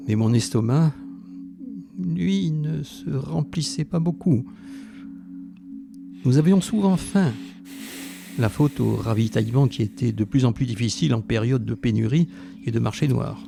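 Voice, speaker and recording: An actor as a former maquisard recalling his youth in an audio drama. The man narrates reflectively in a creaky, mid-pitched voice over music. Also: measured pace, pauses often. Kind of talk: scripted first-person narration.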